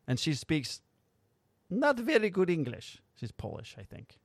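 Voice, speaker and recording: A man's voice: on the high side, huge pitch swings, unhurried, slightly rough, no room sound. A man who is a police officer and podcast host, telling a work story in a blunt, wry way with plenty of swearing. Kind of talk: casual storytelling.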